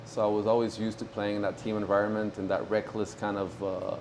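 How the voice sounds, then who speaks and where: Low-voiced young man in casual chat. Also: slight background noise. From Morocco. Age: 20s. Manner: relaxed, halting, many fillers and restarts. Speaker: guest, pro tennis player.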